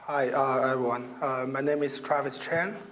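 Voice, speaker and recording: A man from mainland China, a business owner and English speaker, talking haltingly on a panel. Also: medium pitch, steady tone, fairly bright tone, a little hiss or hum. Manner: halting, many uhs.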